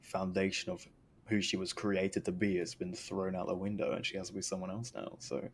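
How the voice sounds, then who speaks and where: A man's voice: low-pitched, flat delivery, a little fast, a little hiss or hum. A young man giving casual, rambling, hesitant commentary while streaming a game. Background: faint game music.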